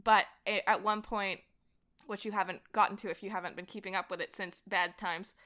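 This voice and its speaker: A woman's voice: mid-range voice, fairly even intonation, a little fast, pushed and bright. A woman chatting casually and enthusiastically as a guest on a podcast. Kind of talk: casual chat.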